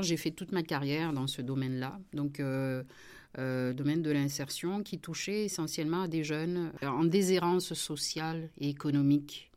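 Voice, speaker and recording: A middle-aged woman with a notably low voice. She answers interview questions about her life, hesitating often and restarting her sentences. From southern France. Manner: hesitant, many fillers and restarts, warm laughs. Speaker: member of parliament, interview guest. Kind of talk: relaxed personal interview.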